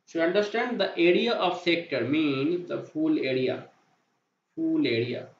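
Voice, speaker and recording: A man teaching a welding calculation on a digital whiteboard, slowly asking and answering his own questions. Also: fairly high pitch. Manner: slow, repetitive, asks and answers own questions. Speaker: welding inspection instructor. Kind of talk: whiteboard math tutorial. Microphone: voice-over to screen drawing. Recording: roomy, dull lo-fi voice-over.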